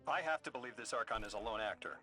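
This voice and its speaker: A man's voice: mid-range voice, expressive, fast, over loud music, close to an everyday voice. A man playing an earnest, mild-mannered guide in a video game, speaking thoughtfully and a little hesitantly. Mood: focused. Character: earnest, mild male radio guide, sympathetic to aliens. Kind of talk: scripted video game dialogue. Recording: clear background noise of game ambience.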